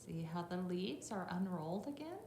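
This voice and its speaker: A woman's voice: lowish voice, animated, moderate pace, darkish tone. A middle-aged woman teacher reading a picture book aloud to young children, adding instructive asides and checking in with little questions. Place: classroom, indoors. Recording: roomy echo, faint background noise.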